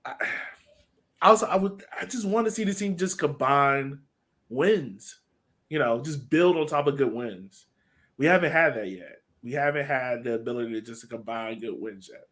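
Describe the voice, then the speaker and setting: A man's voice: medium pitch, expressive, moderate pace, a bit bright. Casual male soccer podcast co-host. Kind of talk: casual sports analysis chat.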